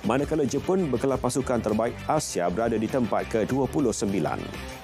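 A man's voice: medium pitch, lively intonation, a little fast. A man with a Malaysian accent reading scripted sports news as a presenter. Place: television studio. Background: music.

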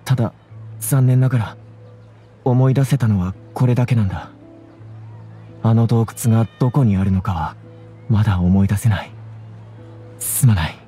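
An actor playing a troubled, earnest young scholar with a hesitant, apologetic manner in an anime game. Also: male voice, lowish voice, normal speed, pauses often, warm tone, faint music behind, lightly acted. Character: troubled, earnest young male scholar recalling his ordeal.

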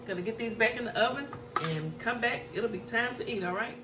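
A woman's voice: on the high side, a little fast. Folksy woman eating on camera. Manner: chatty, folksy, hums over food. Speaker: mukbang cooking show host. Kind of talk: casual chat while eating. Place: home kitchen, indoors. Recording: echoey room with faint hiss.

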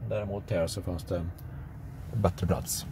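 A Swedish man narrating a casual road-trip vlog, relaxed and hesitant with many fillers. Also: deep voice. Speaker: vlogger on a car road trip. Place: electric car on the road and at charging stops. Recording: clear car and road noise.

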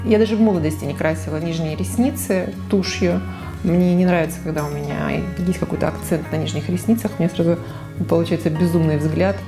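A woman's voice: on the low side, quick pace, music in the background. A woman in her forties talking frankly to camera about her makeup in a small living room, on a lapel mic, with many fillers and self-corrections. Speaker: beauty vlogger. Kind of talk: casual makeup talk to camera.

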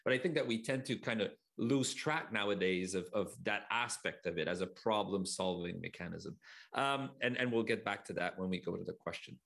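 A man's voice: on the low side, fairly quick, creaky, a bit bright. A man in his forties moderating a panel on a video call, speaking conversationally with some hesitations. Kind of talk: bilingual moderation. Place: home study. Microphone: headset.

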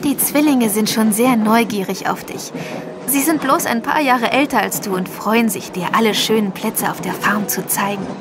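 A woman's voice: fairly high pitch, moderate pace, lightly acted. A woman playing a kind, reassuring young woman in a radio drama. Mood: cheerful, reassuring. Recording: clear background ambience noise.

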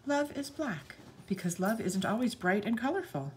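A woman reading a picture book aloud to young children, speaking to them directly in an expressive storytelling manner. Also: medium pitch, moderate pace, slight background noise.

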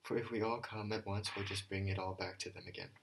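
A man reading an audiobook, voicing an earnest young man who counsels softly and then addresses a group of boys with feeling. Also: deep voice, fairly even intonation, fast talker, creaky, slight background noise. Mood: gentle. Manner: earnest, rising to a heartfelt address.

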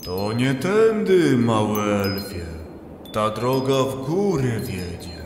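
A man's voice: medium pitch, sing-song, slow pace. An actor playing a friendly male forest sprite in a children's audio play, speaking in a sing-song way. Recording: echoey reverb with music.

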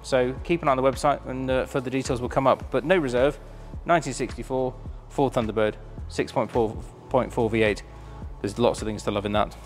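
A man's voice: medium pitch, steady tone, fairly quick, few pauses, soft background music. Enthusiastic man presenting cars. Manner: enthusiastic, chatty, filled with ums. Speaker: auction house presenter. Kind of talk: casual presenting of a car preview. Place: large warehouse showroom with cars.

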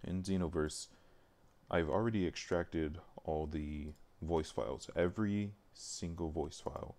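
A man's voice: low-pitched, unhurried, darkish tone. A man livestreaming casually as he works, muttering to himself and thinking aloud. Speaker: streamer. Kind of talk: casual livestream chat while working.

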